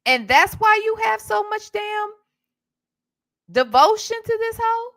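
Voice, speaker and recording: A woman's voice: extremely high pitch, lively intonation, slow pace, a bit bright. An American woman hosting a casual live reaction show, blunt, sassy and profane, with emphatic rhetorical questions. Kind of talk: casual live commentary with chat.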